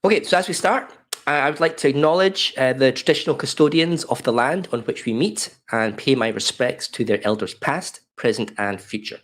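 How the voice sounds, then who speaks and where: A man's voice: mid-range voice, brisk, a bit bright. Chatty Australian man running a webinar. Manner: chatty, welcoming, loose with fillers. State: slightly croaky from a cold. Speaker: webinar presenter. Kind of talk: live online workshop. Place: home office in a desk chair. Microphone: webcam video call.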